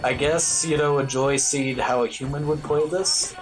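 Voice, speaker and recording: A man's voice: fairly high pitch, moderate pace. A man giving casual live commentary on his own speedrun, with wry, understated humor. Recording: loud game music behind voice. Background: video game music.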